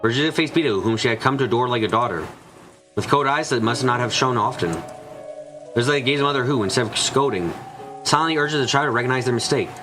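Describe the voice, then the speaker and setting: A man's voice: mid-range voice, fast, poor audio. A man reading scripted story narration from a visual novel as its narrator. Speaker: narrator and reader of a visual novel let's play. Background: prominent background music.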